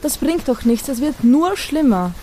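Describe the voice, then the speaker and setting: A woman acts out a fussy mother of two in an amateur radio play. Her voice sits fairly high, quick to take offence yet kind underneath. There is a light noise behind her. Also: sing-song, warm tone. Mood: irritated. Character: fussy, irritable mother of two, softening into helpful listener. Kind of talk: amateur audio drama acting.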